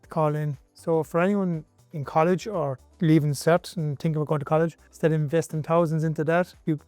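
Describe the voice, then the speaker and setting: A man's voice: a little high, fairly even intonation, fairly quick, a little mellow, no echo, soft background music. A man in his forties with an Irish accent, explaining air traffic control work conversationally as a station manager. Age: 40s. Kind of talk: conversational question-and-answer explainer.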